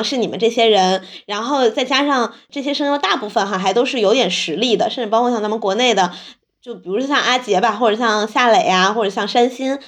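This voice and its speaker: A woman co-hosting a podcast in a casual, conversational style. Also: fairly high pitch. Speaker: podcast host. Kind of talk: casual chat.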